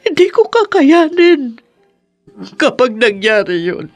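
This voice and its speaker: An actress playing a possessive, remorseful older mother in a radio drama, pleading slowly and haltingly with deep emotion. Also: big pitch swings, dry recording, faint music behind, exaggerated voice. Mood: anguished pleading. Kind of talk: Filipino radio drama acting.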